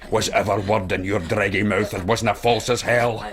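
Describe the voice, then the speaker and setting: An actor playing a bold, shrewd, commanding fugitive soldier with a Scottish accent and a theatrical streak, in period radio drama. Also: male voice, fairly low pitch, steady tone, moderate pace, crowd noise, natural voice. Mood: fierce, incantatory.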